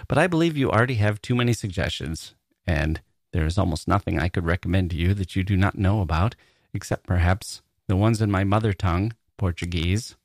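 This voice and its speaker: A man's voice: lowish voice, dry recording, clean studio sound. A man hosting a literary podcast solo, talking conversationally and reflectively with informal asides. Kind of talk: conversational solo podcast talk, reading listener mail.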